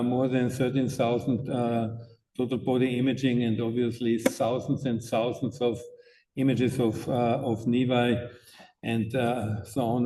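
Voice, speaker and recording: A man with an Austrian accent giving a conference talk, speaking haltingly with many fillers and restarts. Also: medium pitch, warm tone. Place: conference hall. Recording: distant mic with faint noise.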